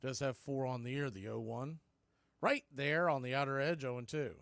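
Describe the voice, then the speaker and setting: A man's voice: mid-range voice, fairly even intonation, normal speed, creaky, fairly bright tone, clean tone, dry recording. A man hosting live baseball play-by-play, giving punchy calls and folksy, opinionated commentary. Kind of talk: live radio sports commentary.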